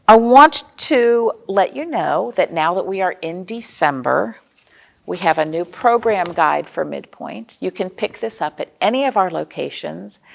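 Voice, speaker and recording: Animated woman hosting children's storytime, slightly creaky. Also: fairly low pitch. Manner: expressive, asks the listening children questions. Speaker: children's librarian and storytime host. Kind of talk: read-aloud storytime for young children.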